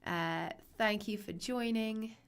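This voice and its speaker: A young woman teaching a live online lesson, her voice raspy and hoarse from a cold, chatty and reassuring with frequent ums. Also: medium pitch, slow pace. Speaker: literature teacher.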